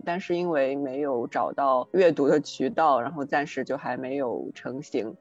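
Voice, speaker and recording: A woman's voice: deep voice, darkish tone, no room sound. A woman co-hosting a podcast, chatting casually and reading out book recommendations from listeners. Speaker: podcast co-host. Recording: dull lo-fi sound, faint music behind.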